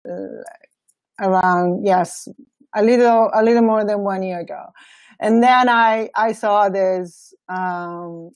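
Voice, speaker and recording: A woman's voice: medium pitch, no echo. A woman from Italy with an Italian accent, informally introducing herself on an online call, searching for words. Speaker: web and graphic designer on a nonprofit team.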